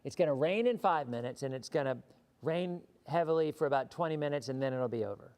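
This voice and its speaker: A hoarse man hosting a live weather show, chatting casually and answering viewer questions, often repeating words as he thinks. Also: fairly high pitch, lively intonation, quick pace, a little mellow.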